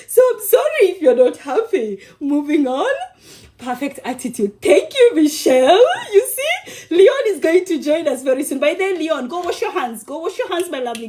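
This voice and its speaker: A woman's voice: high-pitched, a little mellow. A woman from Kenya hosting a casual cooking livestream, bubbly and affectionate, laughing often and teasing her viewers.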